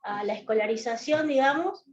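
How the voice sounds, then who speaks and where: A woman's voice: fairly high pitch, animated, normal speed, roomy sound, lo-fi sound. A woman from Misiones with an Argentine accent and Guarani as her first language, joining in an online class discussion. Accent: Argentine Spanish. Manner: chiming in, adds remarks.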